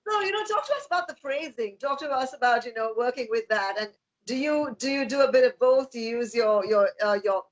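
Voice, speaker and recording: A woman's voice: a little high, animated, fairly quick, poor audio. A woman radio host interviewing a guest, encouraging her with frequent agreement. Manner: encouraging, frequent "yeah" and "right". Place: radio studio.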